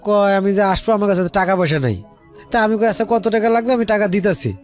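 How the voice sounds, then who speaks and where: High-pitched Bangladeshi man telling his story. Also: fast talker, faint music behind. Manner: rambling, repeats phrases, quotes dialogue. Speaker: guest telling their life story. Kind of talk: personal storytelling in casual colloquial speech.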